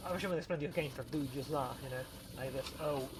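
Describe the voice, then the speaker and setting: A man co-hosting a casual chat, talking haltingly and often repeating words as he goes. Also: medium pitch, noisy background. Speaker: podcast co-host. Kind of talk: casual chat about video games.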